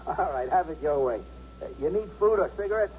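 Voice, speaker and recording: An actor playing a police lieutenant in a radio drama, talking urgently and coaxingly in colloquial, placating phrases. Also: male voice, on the high side, expressive, quick pace, a little mellow, husky. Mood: soothing. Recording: dull, noisy 1970s radio broadcast.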